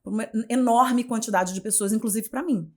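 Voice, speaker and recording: Carioca woman in her forties, journalist guest on an interview show, expressive and chatty, often restarting phrases and adding tag questions. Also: a little high, a little fast. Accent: Carioca, Rio de Janeiro. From Rio de Janeiro, Brazil. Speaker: guest, journalist and communication mentor. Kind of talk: casual interview conversation.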